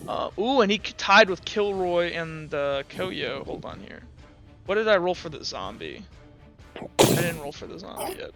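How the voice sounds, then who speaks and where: A man's voice: high voice, very animated, normal speed, vocal fry, over music. A young man running a tabletop game as game master, rambling with fillers and self-corrections.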